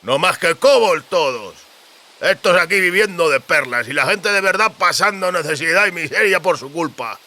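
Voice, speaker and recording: A man's voice: fairly high pitch, lively intonation, normal speed, bright, forceful tone, pitched above the performer's own voice. A man voicing a bitter, coarse ruffian with a throaty, gargling voice and spiteful manner.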